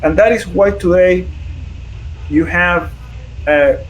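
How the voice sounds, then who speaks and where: Man, guest on a stream, higher voice, slow and halting, "right?" checks, faint hiss. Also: lo-fi sound. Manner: halting, explains with many fillers and "right?" checks. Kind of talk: casual explanatory talk.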